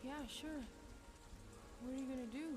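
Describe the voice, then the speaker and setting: A woman's voice: high voice, fairly even intonation, normal speed, music in the background, close to an everyday voice. A woman playing a concerned, caring companion in a video game cutscene. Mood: worried.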